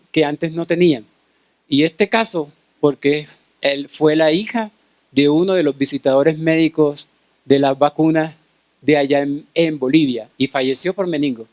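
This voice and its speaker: Male medical lecturer, middle-aged, Colombian Caribbean accent, fairly high pitch, dry sound, keeps checking listeners follow. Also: no room sound. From Cartagena, Colombia. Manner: checks in with listeners through frequent short tag questions. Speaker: pediatric infectious disease specialist giving a lecture.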